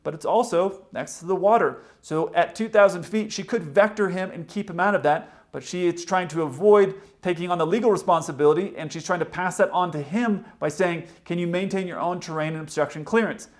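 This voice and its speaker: A man's voice: high-pitched, fairly quick, few pauses. A man, a pilot hosting a video, explains flying procedures in a plain-spoken, opinionated commentary. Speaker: pilot and video host explaining aviation radio.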